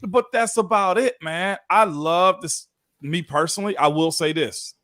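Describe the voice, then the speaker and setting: A man's voice: high-pitched, unhurried, pushed and bright, no echo, clean studio sound. A man in his thirties, a former football player, co-hosting a sports talk show in a laid-back, chatty way.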